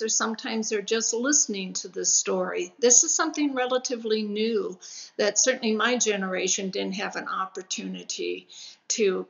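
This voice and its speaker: A woman's voice: mid-range voice, creaky, a bit bright. An older woman moderating a panel conversation as host over a video call. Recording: dull, lo-fi video call audio.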